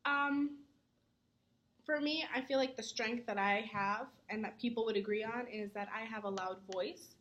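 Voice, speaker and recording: A woman's voice: a little high, fairly bright tone, muffled recording. Earnest young woman answering interview questions. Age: young adult. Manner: earnest, many "um" fillers; says she talks fast when nervous. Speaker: student interviewee.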